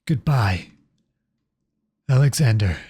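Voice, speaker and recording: A man playing a noble runaway outlaw prince in a dramatic audio roleplay, earnest and imploring, with a husky voice. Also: mid-range voice, animated, slow pace, warm tone, slight background noise. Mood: grieving, subdued. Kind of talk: dramatic ASMR roleplay acting.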